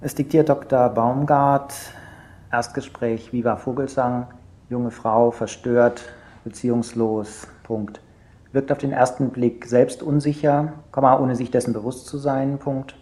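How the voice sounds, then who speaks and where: An actor playing a male therapist in a naturalistic radio play, asking probing questions in a measured, halting way. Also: mid-range voice, fairly even intonation, mellow, close to an everyday voice. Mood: clinical dictation. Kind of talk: naturalistic radio drama dialogue. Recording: roomy sound with faint noise.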